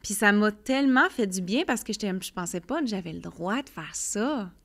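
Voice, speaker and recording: A woman's voice: medium pitch, normal speed. A young woman with a Quebec French accent gives candid personal testimony as a podcast guest. She talks earnestly, full of fillers, often voicing her own past thoughts as quotes. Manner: earnest, many fillers, lively storytelling with quoted inner voice.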